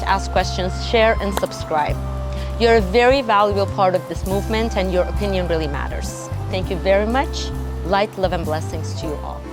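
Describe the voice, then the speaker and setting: A woman hosting an interview, effusive and earnest, full of admiration for her guest. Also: medium pitch. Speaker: interview host.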